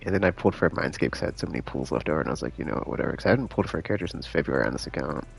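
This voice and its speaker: A man chatting casually on a live game stream in a laid-back, slangy, rambling way, thinking out loud, with a gravelly voice. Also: a bass voice, animated, very fast. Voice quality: gravelly, creaky.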